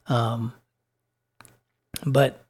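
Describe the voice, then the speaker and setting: A slightly hoarse man giving a casual recap of his dividend portfolio, halting with many ums and restarts as he reads out figures. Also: medium pitch, little pitch movement, darkish tone. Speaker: dividend investing vlogger.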